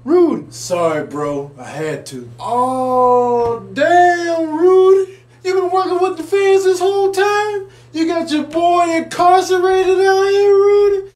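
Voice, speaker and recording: A man doing a high, whiny pleading animal. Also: takes it slowly, darkish tone, roomy sound. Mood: betrayed, wailing.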